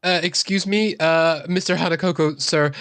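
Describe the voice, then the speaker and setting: Woman playing a low-key reindeer centaur cleric. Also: fairly even intonation, moderate pace, clean tone, no echo, natural voice. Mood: awkward. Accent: New Zealand. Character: female reindeer centaur cleric, vain yet earnest.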